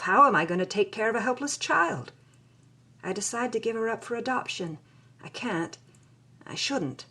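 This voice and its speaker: A woman's voice: lowish voice, bright, forceful tone, slightly rough, exaggerated voice. An actress playing a hard-boiled young woman who recounts her past in a wry, anguished audio drama narration. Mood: grave, haunted.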